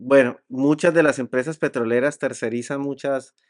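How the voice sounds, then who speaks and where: A man in his forties with a Colombian accent, a guest on a podcast, talking in a measured, reserved way and pausing to find his words. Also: medium pitch, steady tone. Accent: Colombian Spanish. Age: 40s. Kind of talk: casual interview chat.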